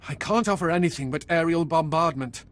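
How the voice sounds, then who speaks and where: An actor playing a deferential, efficient imperial liaison officer in a video game, formal and polite in manner. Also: male voice, on the high side, fairly quick, close to an everyday voice. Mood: grave, matter-of-fact.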